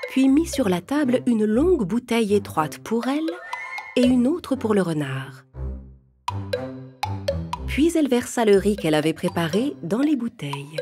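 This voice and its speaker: A woman narrating a children's fable in a calm, clear storytelling manner. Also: fairly high pitch, unhurried, long pauses. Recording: music playing under narration.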